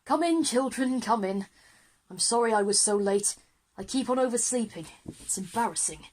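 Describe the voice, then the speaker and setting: Woman playing Brian, a flustered, husky male history teacher. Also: on the high side, expressive, moderate pace, a little mellow. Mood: flustered, apologetic. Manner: eager, wordy lecturing. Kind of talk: children's audio drama acting.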